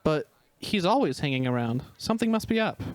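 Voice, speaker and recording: A man's voice: on the high side, sing-song, a little fast, no room sound. A man playing a poised, exacting stage director who coaches dancers, in a dramatic reading of a screenplay. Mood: wondering.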